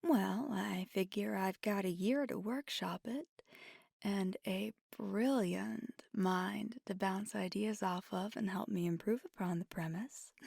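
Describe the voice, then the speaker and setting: Woman acting as a flirty vampire gf asmr, soft and whispery, slow w lots of vocal fry, playful n affectionate. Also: medium pitch, dry recording, studio quality, exaggerated voice. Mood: playful, enthusiastic.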